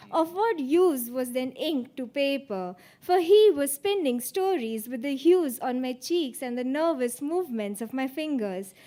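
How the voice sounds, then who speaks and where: Female spoken-word poet, high-pitched voice, performing a written piece. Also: a little mellow. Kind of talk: spoken-word poetry recital.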